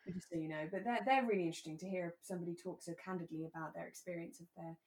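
A woman co-hosting a podcast in relaxed, casual chat, talking in a candid, conversational way. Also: fairly low pitch, very fast, rough recording. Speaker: podcast co-host. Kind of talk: relaxed casual chat about a radio drama.

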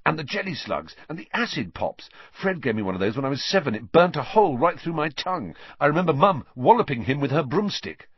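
Brief: a man playing a blunt, excitable teen boy, pitched up, husky, dull dry recording. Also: fairly quick, few pauses, dry recording. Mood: eager. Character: brash, cheeky teenage schoolboy.

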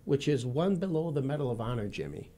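A man in his fifties from Wisconsin with a Midwestern accent, speaking as a guest in a radio studio interview in a steady, earnest, formal way. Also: medium pitch, a little fast. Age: 50s. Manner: steady, earnest and formal, addresses the host by name often.

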